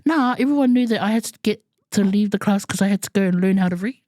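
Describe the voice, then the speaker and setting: A woman's voice: mid-range voice, quick pace, darkish tone, no room sound, clean studio sound. Laughing chatty New woman podcaster. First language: Samoan. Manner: chatty, laughing, colloquial asides. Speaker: podcast co-host. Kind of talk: casual podcast chat.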